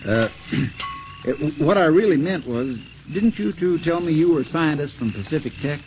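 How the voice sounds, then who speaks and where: A man's voice: fairly high pitch, lively intonation, unhurried, a little mellow, a little hiss or hum, rough recording. A man playing a good-natured, folksy fire lookout in a 1950s radio drama, colloquial and eager, on an old radio recording. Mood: sheepish. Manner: folksy, colloquial, hesitant and drawn out.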